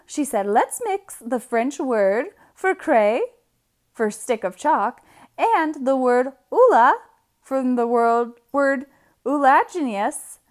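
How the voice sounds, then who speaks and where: A woman storyteller reads a children's picture book in a fairly high voice that moves up and down in lively swings. She takes her time, leaning on key words and drawing them out for emphasis. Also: takes it slowly. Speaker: read-aloud storyteller.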